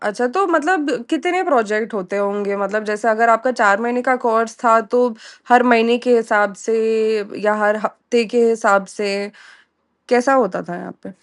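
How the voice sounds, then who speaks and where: A woman's voice: on the high side, unhurried. A woman with an Indian accent interviewing casually over a call, inquisitive and often rephrasing her questions. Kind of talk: casual question-and-answer conversation. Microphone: call recording.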